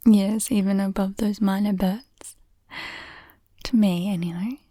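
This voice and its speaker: A woman playing an affectionate, teasing girlfriend in an intimate bedtime roleplay, whispering softly and unhurriedly up close to the microphone. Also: mid-range voice, fairly even intonation, measured pace, dry recording, close to an everyday voice. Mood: cozy, chatty. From Australia. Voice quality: soft, breathy whisper. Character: affectionate, teasing, nerdy young Australian girlfriend in bed. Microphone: close microphone, dry.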